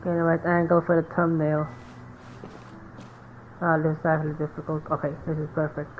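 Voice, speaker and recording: A woman's voice: low-pitched, flat delivery, normal speed, slight background noise. A woman giving casual let's-play commentary, musing aloud and reacting in short remarks. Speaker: game streamer. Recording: muffled lo-fi mic over game music.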